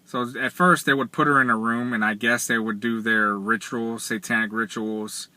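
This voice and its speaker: A middle-aged man retelling a story in casual, measured, halting speech, sitting in a car. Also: medium pitch, steady tone, sharp tone, no echo. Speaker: vlogger. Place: inside a parked car. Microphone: camera or phone at arm's length.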